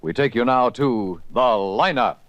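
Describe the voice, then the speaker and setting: A man's voice: mid-range voice, animated, measured pace, dry recording, lightly acted. A man as a solemn radio announcer. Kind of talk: solemn radio show opening. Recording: dry lo-fi old radio broadcast.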